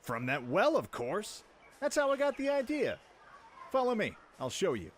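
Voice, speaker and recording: An actor playing a brash, cheeky young runaway prince in an audio drama. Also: male voice, high voice, wildly swooping pitch, normal speed, a little hiss or hum. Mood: excited. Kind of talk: radio-play acting.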